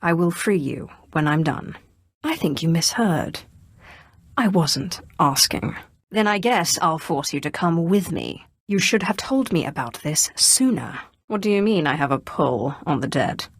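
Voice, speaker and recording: A woman's voice: deep voice, no room sound, clean studio sound, exaggerated voice. A woman voicing a ruthless, sardonic Sith sorceress in a video game, haughty, cool and ambitious. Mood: cold menace, then determined, businesslike. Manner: haughty, cool, dryly sarcastic. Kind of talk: scripted video game voice acting.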